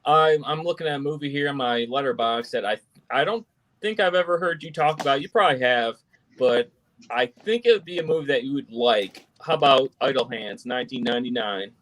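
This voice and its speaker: A man's voice: mid-range voice, brisk, a bit bright. A man chatting as a guest on a live stream, agreeable, often chiming in with affirmations. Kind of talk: casual chat.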